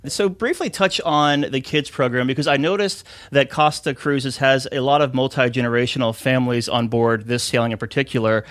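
A man's voice: mid-range voice, fairly quick, hardly any pauses, fairly bright tone, no echo. A man hosting a cruise talk radio show, chatty and upbeat with frequent fillers, interviewing a guest.

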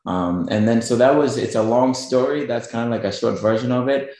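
A man's voice: mid-range voice, fairly quick, dark tone, very echoey. A middle-aged man from Kenya with a Kenyan accent, a guest in a radio interview, talking casually with many fillers and restarts. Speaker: guest business owner.

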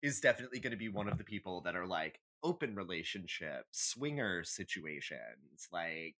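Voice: low voice, sing-song, moderate pace, a bit bright. A podcast co-host in casual chat, giving candid, emphatic, sweary opinions like a comic rant.

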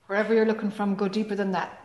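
A woman leading a guided meditation calmly and gently, in short phrases with long pauses between them. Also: mid-range voice. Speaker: meditation teacher. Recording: echoey room.

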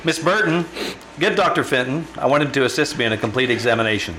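A man acting a calm, authoritative doctor. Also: mid-range voice, lightly acted, poor audio. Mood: composed, probing. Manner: measured, questioning, reassuring. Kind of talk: old-time radio acting.

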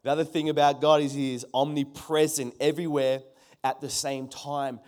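Man, middle-aged pastor, emphatic sermon, repeats key lines, slightly high voice. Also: little pitch movement, moderate pace, a little mellow. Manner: emphatic, repeats key phrases, calls on the congregation.

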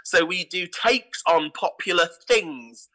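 A man's voice: high-pitched, slow pace, bright, forceful tone, rough recording. A British man speaking enthusiastically and conversationally as a guest in an interview about his work. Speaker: guest, artistic director of a pantomime theatre company.

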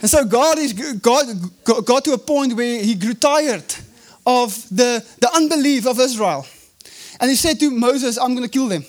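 High-voiced South African preacher. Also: male voice. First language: Afrikaans. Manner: preaching with emphatic drawn-out phrases and frequent restarts. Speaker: pastor. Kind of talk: sermon.

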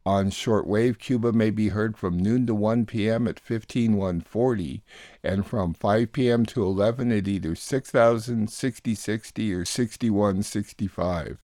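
Low-voiced man hosting a news show. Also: a little slow, warm tone, no echo, studio quality. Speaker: radio show host. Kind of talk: plain scripted news links.

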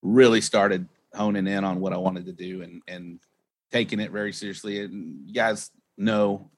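A man's voice: deep voice, fairly even intonation, moderate pace. A man talking as a podcast guest in a casual, rambling, informal way, telling anecdotes as he goes. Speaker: podcast guest, competition barbecue pitmaster. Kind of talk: casual conversational interview.

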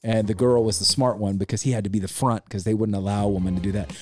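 A man's voice: lowish voice, fast talker, faint music behind. A man co-hosting a casual podcast, giving a rambling, opinionated movie review. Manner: opinionated, rambling, animated retelling. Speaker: podcast co-host.

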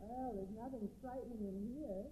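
A woman's voice: fairly high pitch, normal speed, warm tone, close to an everyday voice. An actress playing a refined woman who accompanies an investigator, in 1940s radio drama style. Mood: skeptical, weary. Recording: tinny, narrow-band 1940s radio recording.

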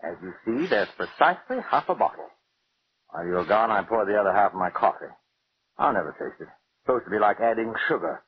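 An actor playing a bitter, taunting wealthy husband resigned to death in a theatrical 1940s radio drama style. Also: male voice, on the low side, sing-song, a little hiss or hum. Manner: theatrical, sardonic, deliberate. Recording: muffled old radio recording with music.

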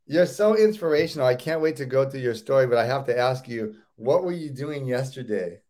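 A man's voice: medium pitch, animated, fairly quick, a little mellow. A man hosting a casual interview, enthusiastic and often repeating or restarting words. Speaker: host.

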